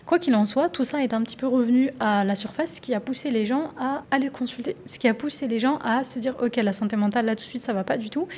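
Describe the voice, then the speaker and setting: Young female psychologist, solo podcast host; slightly high voice, reflective and meandering delivery; faint low rumble in the background. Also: a little mellow. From France. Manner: thoughtful, rambling with many restarts and fillers.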